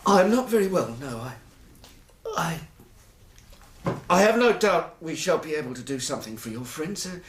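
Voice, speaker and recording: Actor playing a halting, distraught businessman, echoey room. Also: on the high side, lively intonation, background noise, lightly acted. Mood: nervous, distracted. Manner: halting, stammering, formally polite. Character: distraught, terrified businessman struggling to stay polite. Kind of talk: radio drama acting. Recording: roomy, echoing interior.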